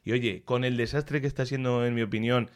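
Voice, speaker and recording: A man with a Castilian Spanish accent chats as a culture commentator. His voice sits at a middle pitch. He is colloquial and expressive, giving his opinions freely. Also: a little fast, clean tone. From Castilla-La Mancha, Spain. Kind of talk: casual conversational review.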